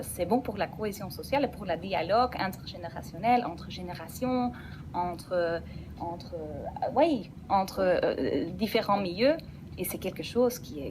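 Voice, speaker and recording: A woman's voice: lowish voice, noisy background. Dutch-speaking woman with a slight accent. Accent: Dutch-speaking Belgian. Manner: enthusiastic, explanatory, frequent restarts. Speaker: guest, program representative. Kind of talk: radio interview. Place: radio studio.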